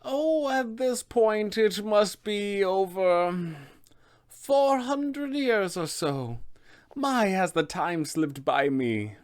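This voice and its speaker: An old witch mother from a tabletop game, acted out by a man, speaks in a high, drawn-out croon. She is doting and deluded, with a quiet menace under the sweetness. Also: expressive, clear, resonant tone, exaggerated voice. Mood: wistful. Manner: sweetly crooning, slow, measured.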